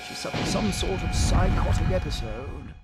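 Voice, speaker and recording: Man as a high-voiced pompous Victorian English gentleman. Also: animated, normal speed, over loud music, natural voice. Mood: peevish, dismissive. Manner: pompous, verbose, exasperated. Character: pompous, fussy, peevish Victorian gentleman lawyer. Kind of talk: period game acting.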